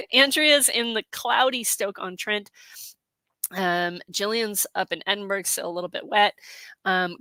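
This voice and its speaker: A middle-aged woman hosting a casual livestream, a little hoarse from allergies, chatting with lots of fillers as she reads out viewer comments. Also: medium pitch, bright, forceful tone, no echo. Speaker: host. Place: home in Colorado.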